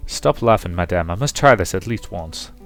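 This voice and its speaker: A man voicing a gallant, grumbling older gentleman suitor in a visual novel, formal and mock-indignant. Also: sits low, animated, moderate pace, faint music behind.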